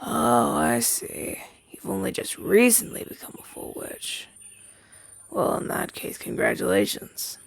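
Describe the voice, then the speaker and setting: A down-on-his-luck crow demon, acted by a young man, chatty and eager in a fantasy roleplay. The voice is very creaky and husky, with pitch that swings widely. He stammers and hesitates in a conversational way, recorded close and dry. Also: sing-song, measured pace, dry recording, full character voice. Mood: warm, reminiscing. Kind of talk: roleplay audio drama, ASMR.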